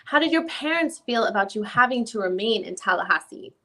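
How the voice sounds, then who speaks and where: Woman reading as a high-voiced, probing female lawyer. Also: expressive, brisk, a bit bright. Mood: questioning. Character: probing female defense lawyer questioning a witness. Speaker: lawyer in a deposition reenactment. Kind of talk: dramatic reading of a deposition transcript.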